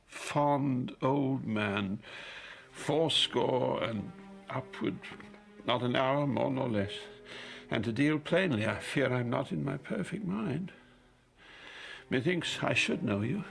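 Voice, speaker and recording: An aged king in a classical audio drama, his voice heavily cracked and gravelly. He speaks slowly and haltingly, frail and bewildered, full of remorse, over faint music. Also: mid-range voice, fairly bright tone, heavily acted. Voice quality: gravelly, creaky.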